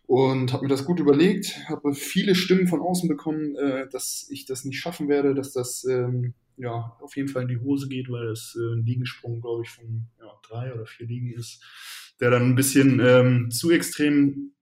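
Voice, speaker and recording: A man in his twenties from the Hannover region, a football player answering interview questions casually, pausing often with fillers and restarting sentences. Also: mid-range voice, fairly even intonation, a little mellow. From Hannover region, Germany. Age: 20s. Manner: hesitant, many fillers and restarts. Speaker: professional football player, guest.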